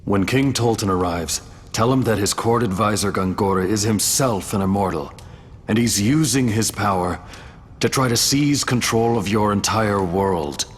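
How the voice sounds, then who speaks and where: A man's voice: moderate pace, natural voice. An actor playing a stoic immortal man in a game cutscene, blunt, guarded and serious in his delivery. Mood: firm, serious. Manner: terse, serious. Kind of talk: dramatic game cutscene acting. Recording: long hall reverb, low rumble.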